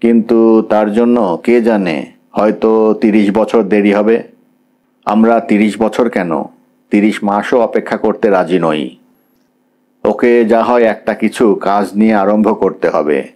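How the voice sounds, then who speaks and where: A man's voice: lowish voice, a little mellow, close to an everyday voice. Man as pragmatic, businesslike middle-aged father. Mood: pragmatic, negotiating. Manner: measured, businesslike, English words mixed in.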